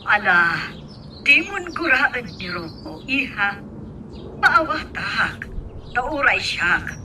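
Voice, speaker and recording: A woman's voice: a little high, very animated, slow pace, pushed and bright, far from the mic, a little hiss or hum, heavily acted. A woman acting as an anxious, hesitant, fretful mother in an Ilocano radio drama. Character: anxious, frightened mother on a journey.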